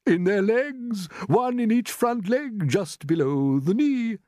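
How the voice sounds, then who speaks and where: A man's voice: high voice, lively intonation, slow pace, a little mellow, no room sound, studio quality, pitched above the performer's own voice. A man voicing a genial, proud old green grasshopper musician from a storybook, avuncular and emphatic, drawing out his words. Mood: gentle, teasing. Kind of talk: storybook character voice.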